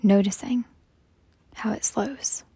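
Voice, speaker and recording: A woman guides a meditation in a soft, breathy, hushed voice, measured and soothing, leaving long pauses between phrases. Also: medium pitch, steady tone, no echo. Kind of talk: slow guided meditation narration.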